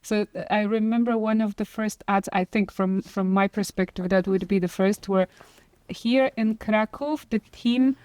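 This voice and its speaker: A woman's voice: medium pitch, steady tone, unhurried, darkish tone, dry recording. Polish woman on a work podcast, lots of restarts, dry sound. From Poland. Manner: hesitant, many restarts. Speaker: employee ambassador. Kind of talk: podcast panel chat.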